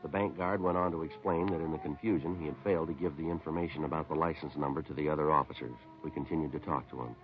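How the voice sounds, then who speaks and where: An actor playing a matter-of-fact police detective in a 1950s radio drama, clipped, deadpan and businesslike. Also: male voice, very deep voice, quick pace, hardly any pauses, music in the background. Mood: deadpan narrating. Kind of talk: terse police procedural narration and dialogue, 1950s radio. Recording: dull vintage 1950s radio recording.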